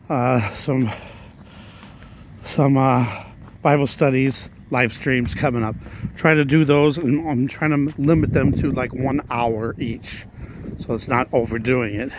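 Hoarse, breathless man vlogging on a phone mid-walk, mid pitch, faint outdoor hum behind. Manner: rambling, plain-spoken, coughing and sighing. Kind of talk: casual walking vlog. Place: residential street outdoors. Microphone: handheld phone while walking.

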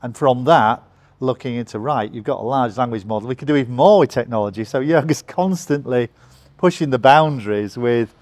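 An older man explaining his company's work in a measured, conversational interview, often saying "you know". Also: medium pitch, a little mellow, dry recording. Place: bright open office lounge with large windows.

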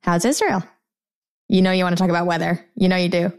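A woman's voice: fairly low pitch, fairly even intonation, fast talker, a little mellow. A woman co-hosting a podcast with casual, playful, teasing banter. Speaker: podcast co-host.

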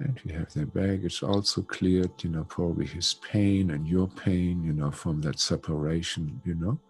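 Older male healer, deep gravelly voice, rambling fillers, headset call. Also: fairly even intonation, normal speed. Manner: chatty, warm-toned, many "you know" fillers. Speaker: spiritual healer. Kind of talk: guided spiritual session. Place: home room. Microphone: headset on video call.

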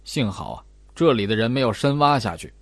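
A man's voice: mid-range voice, animated, brisk, no room sound. A man voicing a condescending, long-winded older male investigator in an audiobook, with a drawling, patronizing way of talking. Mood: explaining. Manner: drawling, patronizing, sentence-final particles. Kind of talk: audiobook character dialogue.

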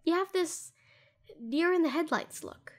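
Casting: actress as a female doctor for intimate audio drama, high voice, earnest, tender and fiercely protective of a hurt ex. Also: a little slow. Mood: concerned. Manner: earnest, soothing, hushed at times, fierce when protective. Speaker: voice actor in an audio roleplay. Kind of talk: scripted intimate audio roleplay addressed to the listener.

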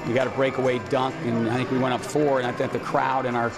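A man's voice: medium pitch, flat delivery, fast, loud music behind the voice. A middle-aged man, a basketball coach, answering questions in a post-game interview. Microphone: handheld interview microphone. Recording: loud crowd noise behind interview.

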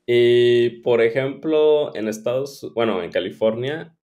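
A man's voice: mid-range voice, takes it slowly, mellow. A man in his twenties hosting a casual interview, listening attentively and putting in short responses. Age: 20s. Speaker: podcast host, interviewer.

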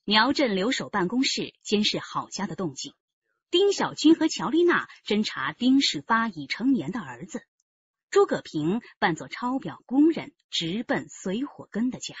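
A woman with a husky voice delivering scripted narration for a crime radio drama. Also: medium pitch, sing-song, moderate pace, dry recording, lo-fi sound.

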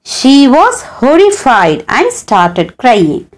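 A woman's voice: high voice, wildly swooping pitch, drawn-out words. A woman hosting her channel, telling a story as a scripted voice-over in a dramatic storyteller's way. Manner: dramatic, swooping storyteller's delivery. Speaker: channel host and narrator.

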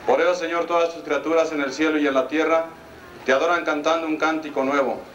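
A man with a Mexican Spanish accent, a priest reciting the prayers of the mass in a solemn, measured cadence, recorded by an amateur camcorder among the congregation. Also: fairly high pitch, fairly even intonation, fairly bright tone, roomy sound, dull audio, a little hiss or hum. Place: outdoor altar under a canopy.

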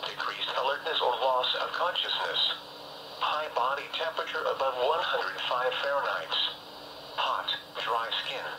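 A man's voice: mid-range voice, normal speed. A man reading a scripted emergency weather bulletin as a radio announcer, in a formal, clipped, automated-sounding way. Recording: noisy weather radio filmed off speaker.

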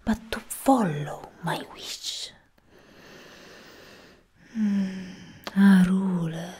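An imperious goddess of war, played by a woman, whispers in an ASMR roleplay as a menacing would-be queen. Her voice is very breathy and dark, close on the mic. She speaks extremely slowly and deliberately, as if every word is a command. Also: fairly low pitch, heavily acted. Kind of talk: soft-spoken ASMR roleplay.

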